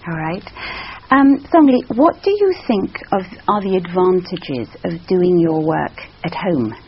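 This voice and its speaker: Woman, examiner, animated pitch, measured and encouraging, muffled lo-fi recording. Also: slight background noise. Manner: measured, encouraging with frequent backchannels. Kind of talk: structured interview questions. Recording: dull lo-fi sound.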